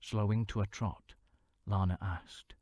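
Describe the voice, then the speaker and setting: A man narrating a fantasy novel in a measured storytelling manner, sometimes dropping to a hushed near-whisper. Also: lowish voice, fairly even intonation, no room sound. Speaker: audiobook narrator.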